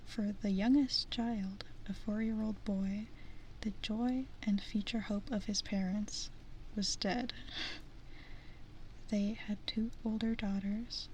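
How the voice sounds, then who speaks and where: Soft whispering woman reading slowly. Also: medium pitch, a little hiss or hum, low-quality recording. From American Midwest. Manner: soft-spoken whisper, self-deprecating asides. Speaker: ASMR streamer.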